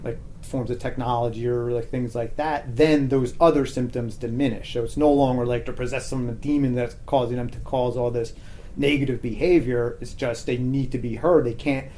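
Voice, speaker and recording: A man in a relaxed, reflective podcast conversation. His voice is mid-pitched and dark, without sharp edges. He feels his way through ideas haltingly, often rephrasing as he goes. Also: a little hiss or hum. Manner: halting, thinking aloud, hedged phrasing. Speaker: podcast guest, teacher.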